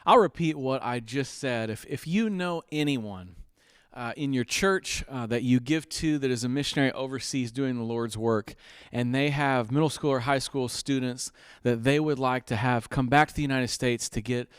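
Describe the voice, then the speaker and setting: A man's voice: mid-range voice, moderate pace, clear, resonant tone, dry recording, studio quality. Earnest man hosting a telethon appeal. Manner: earnest, upbeat, with frequent uhs and restarts. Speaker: fundraiser host.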